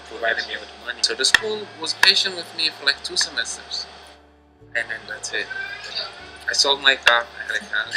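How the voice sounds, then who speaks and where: A man from Niger telling his story in a documentary interview, speaking haltingly with many restarts, earnest and plain. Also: medium pitch, rough recording.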